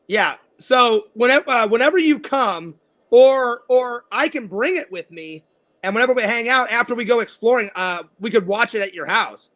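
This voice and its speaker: High-voiced man in his forties, rambling. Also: lively intonation, normal speed, sharp tone. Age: 40s. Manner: rambling, repeats words and restarts often. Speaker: host. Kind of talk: casual interview chat.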